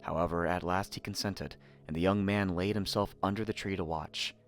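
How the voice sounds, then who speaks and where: A man narrating a scripted fairy tale in a theatrical, expressive manner. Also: sits low, moderate pace. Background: faint music.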